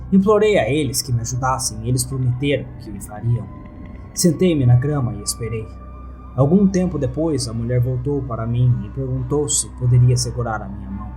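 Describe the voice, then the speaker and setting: A Brazilian man narrates a horror story in the first person. His voice is mid-pitched with a dark, soft-edged timbre. Light music plays underneath. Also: low-quality recording.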